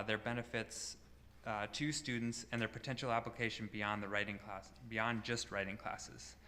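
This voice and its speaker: A man's voice: medium pitch, pushed and bright, a little hiss or hum. A man introducing the next speaker at a library talk, reading plainly with a few "uh"s and a stumble. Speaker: event host introducing the speaker. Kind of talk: formal introduction. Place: library presentation room. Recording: reverberant hall with faint ambience.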